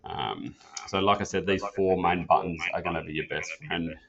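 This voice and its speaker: A man's voice: low voice, fairly even intonation, normal speed, creaky, fairly bright tone. A man giving an informal product tutorial in a casual, plain-spoken, practical manner, like an instructor walking a learner through each step. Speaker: instructor demonstrating an office phone system. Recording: dull, muffled lo-fi sound.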